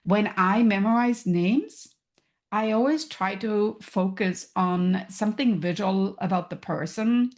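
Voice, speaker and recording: A woman's voice: mid-range voice, measured pace, darkish tone. A woman from Germany with a German accent, a podcast guest who explains her ideas thoughtfully, with examples. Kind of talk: casual interview chat.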